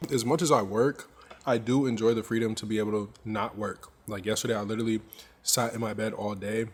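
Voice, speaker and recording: A young man of about twenty narrates his workday for his viewers. His voice sits low and stays fairly even in pitch, and his delivery is relaxed and candid. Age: 20s. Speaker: clothing brand owner. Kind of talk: casual vlog narration.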